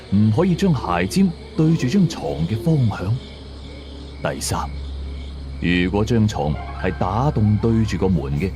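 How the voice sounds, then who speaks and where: A man narrating a scripted first-person horror story in a measured, dramatic way, drawing out the ends of some phrases. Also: sits low, warm tone. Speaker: storyteller of a radio ghost-story series.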